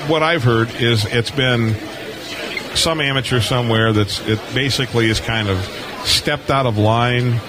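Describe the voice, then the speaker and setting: An American man chats as a radio host at a mid pitch. His talk is folksy and rambling, with repeated words, and there is clear noise of a convention floor behind him. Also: unhurried. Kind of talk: casual live radio chat. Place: booth on busy convention floor. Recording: noisy convention hall floor, live broadcast.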